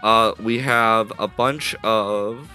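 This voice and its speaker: Male streamer, low-set but bright, slightly stuffy voice; rambling, upbeat live chatter on a headset mic over soft jazz. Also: fairly even intonation, slow. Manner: rambling, self-correcting, upbeat. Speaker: streamer building a game asset live. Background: soft jazz music playing.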